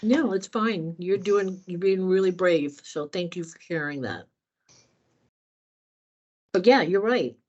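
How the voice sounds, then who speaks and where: A woman's voice: fairly low pitch, takes it slowly, long pauses, darkish tone, dull audio. A woman trainer answering questions in a conversational workshop, candid and thoughtful, speaking at length. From United States.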